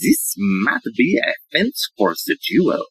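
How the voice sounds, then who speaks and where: A woman's voice: low-pitched, huge pitch swings, takes it slowly, creaky, slightly rough, no room sound, full character voice. A woman playing an eccentric, self-assured male police inspector in a comic audio play, speaking in a theatrical, earnest way. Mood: earnest, solemn. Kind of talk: audio play comedy acting.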